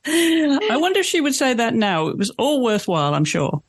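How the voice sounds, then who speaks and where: Calm measured podcast host. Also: male voice, very high voice. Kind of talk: interview questions.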